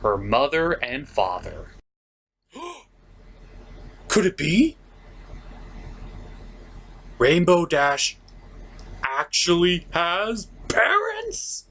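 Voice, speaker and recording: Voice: medium pitch, huge pitch swings, extremely slow, long pauses, fairly bright tone, slight background noise. A comedic review host who recaps and rants in a sarcastic, exasperated way with theatrical emphasis. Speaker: host of a cartoon review show.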